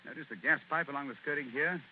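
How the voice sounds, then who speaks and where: An actor playing a brisk, confident detective with crisp, ironic delivery in a 1940s radio play. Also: male voice, a little high, slight background noise, lightly acted. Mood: wry. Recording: tinny old radio broadcast.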